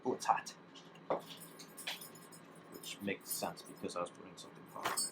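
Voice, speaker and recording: A man's voice: low-pitched, steady tone, moderate pace. A man casually talking through hands-on DIY work as he goes, thinking aloud in an informal way. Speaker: DIY electronics hobbyist. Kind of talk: casual hands-on narration while working. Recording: clearly noisy hands-on workshop recording.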